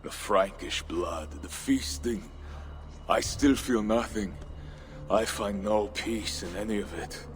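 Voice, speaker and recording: Slow, brooding Viking war leader. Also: male voice, lowish voice. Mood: bitter, brooding. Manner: grave, deliberate, questioning oratory. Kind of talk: dramatic video game cutscene acting. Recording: music under the voice.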